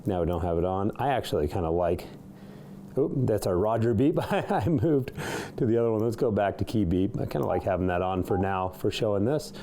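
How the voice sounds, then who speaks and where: A man's voice: lowish voice, fairly quick, dark tone, a little hiss or hum. A man giving a casual, unscripted product demonstration in a studio, slightly hesitant as he works through the features. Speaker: product reviewer demonstrating a CB radio. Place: indoor studio.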